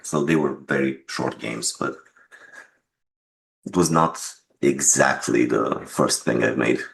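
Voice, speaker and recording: A man answering interview questions as a game developer and writer, explaining things in a measured way. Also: a bass voice, gravelly, fairly bright tone.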